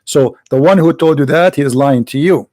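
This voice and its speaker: Man, insistent debater, higher voice, dry close sound. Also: moderate pace, a little mellow, dry recording. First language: Arabic. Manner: insistent, interrupting, repeats words. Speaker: debate host. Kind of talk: heated online debate.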